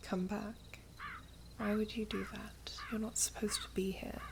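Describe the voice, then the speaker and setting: A woman playing a gentle, wistful elven princess in an ASMR roleplay, speaking in a breathy, hushed voice with formal storybook phrasing. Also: medium pitch, level tone, a little slow, noisy background. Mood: guarded, wistful. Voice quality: breathy, whispery. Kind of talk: ASMR roleplay, soft whispery close speech.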